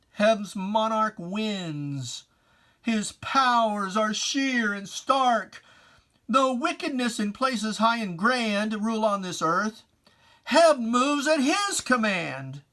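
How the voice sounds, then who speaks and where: Dramatic poetry reader: unhurried, emphatic and theatrical, with preacherly old-fashioned diction and weighty pauses. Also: medium pitch, a bit bright. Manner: theatrical, solemn, emphatic declamation with deliberate pauses; archaic preacherly diction, addressing a foe directly. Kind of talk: dramatic poetry reading, scripted and declaimed.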